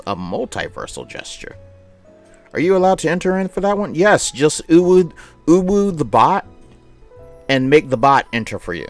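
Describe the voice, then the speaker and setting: Animated man hosting a game stream. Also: medium pitch. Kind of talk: live stream chat mixed with reading visual novel narration aloud.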